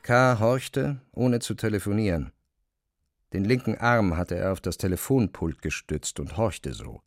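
A man's voice: fairly low pitch, a little slow, dry recording. A man reading scripted literary narration as the narrator of an audio drama.

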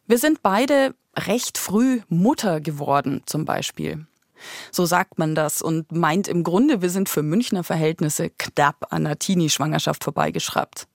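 A woman's voice: on the low side, darkish tone, no room sound, clean studio sound. A woman in her thirties hosting a podcast with conversational narration. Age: 30s. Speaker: podcast host.

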